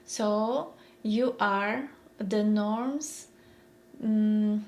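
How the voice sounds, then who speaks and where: A woman's voice: mid-range voice, very slow, a little mellow. A woman in her forties with a Polish accent, giving a reflective motivational talk and repeating phrases as she searches for words. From Poland. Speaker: mindfulness speaker.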